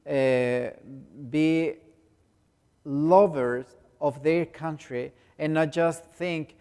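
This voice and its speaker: A Salvadoran pastor, a man who grew up speaking Spanish, talking in halting English with a Spanish accent and many restarts. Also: fairly high pitch, a little mellow, clear, resonant tone, long reverb tail. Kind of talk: speaking to a congregation in non-native English.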